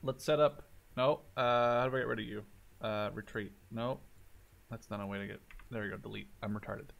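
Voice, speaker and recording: A man's voice: on the low side, fairly even intonation, a little fast, creaky, dull audio. A man in his twenties giving casual gameplay commentary, thinking aloud in an informal, sweary way. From Canada-based Dutchman. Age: 20s. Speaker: gaming video host. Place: indoors, voice over gameplay.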